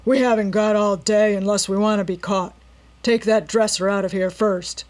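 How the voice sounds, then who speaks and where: A woman's voice: medium pitch, a little mellow, natural voice. A woman reading a storybook and voicing a brutal male robber gang leader who growls and sneers. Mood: menacing. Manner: growling, sneering, slow menacing.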